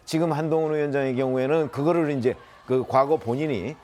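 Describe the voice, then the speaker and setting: A man's voice: medium pitch, fairly even intonation, brisk, mellow. A man commenting on politics as a studio panelist, talking in a discursive way with many fillers.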